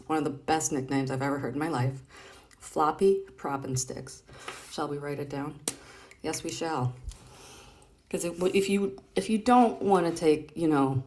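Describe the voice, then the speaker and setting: A woman's voice: low-pitched, darkish tone. A woman hosting a casual read-aloud of comic strips, chatting playfully and expressively as she explains things directly to a child.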